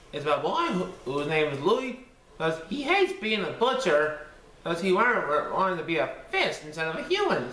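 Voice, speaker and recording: Cheerful cartoon sponge reading a storybook, high, wildly swooping sing-song delivery with stumbles, in an echoey room. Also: male voice, pushed and bright, full character voice. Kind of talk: storybook read-aloud. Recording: echoey room with faint background noise.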